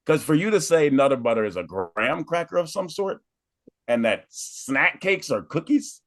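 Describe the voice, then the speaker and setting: A man's voice: on the high side, big pitch swings, moderate pace, no echo, studio quality. A man from Phoenix, a co-host in a casual podcast chat, laughing and joking with friends. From Phoenix, USA. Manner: laughing, joking, swearing freely.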